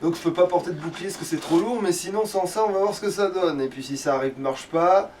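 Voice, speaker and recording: A man's voice: sits high, fast, a little mellow. A man chatting informally as he plays a video game, swearing freely and thinking aloud. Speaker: let's play gamer playing the game. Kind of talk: casual gaming chat, commentary while playing. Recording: distant mic with faint background noise.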